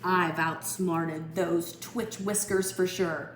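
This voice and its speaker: A woman's voice: fairly low pitch, fairly even intonation, slow, reverberant, slight background noise. A woman voicing a determined, grumbling male gardener in a picture book, speaking in emphatic declarations. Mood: defiant. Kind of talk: picture-book read-aloud character voice.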